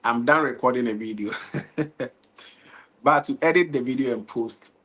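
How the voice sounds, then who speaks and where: A man's voice: mid-range voice, measured pace, a bit bright, slightly rough. A young man hosting a casual football livestream from Ghana, tired and loose, repeatedly checking in with his viewers. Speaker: livestream football talk host.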